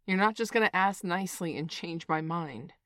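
Woman doing a flustered parent, lowish voice, dry close recording, flips between scolding and soothing. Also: moderate pace, fairly bright tone, no echo, lightly acted. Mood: stern. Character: flustered protective parent, middle-aged. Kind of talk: scripted audio roleplay.

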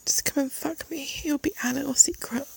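A woman performing an intimate audio roleplay in a breathy, pleading, teasing voice. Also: sits high, fairly even intonation, a little fast.

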